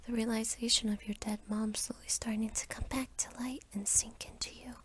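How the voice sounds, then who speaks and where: A young adult woman reading a scripted second-person story as ASMR in a soft, whispery voice. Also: a little high, level tone, normal speed, slight background noise. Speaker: roleplay narrator.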